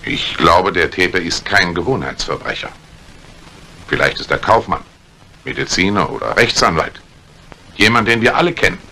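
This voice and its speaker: A brusque police inspector in an old radio thriller. His voice is very deep and bright-edged, with animated swings as he probes and commands deliberately. The vintage recording has a faint noise. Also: vocal fry, exaggerated voice. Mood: grave, determined. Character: brusque, authoritative middle-aged male police detective inspector. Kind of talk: 1950s German radio crime drama acting. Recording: noisy vintage 1950s radio drama recording.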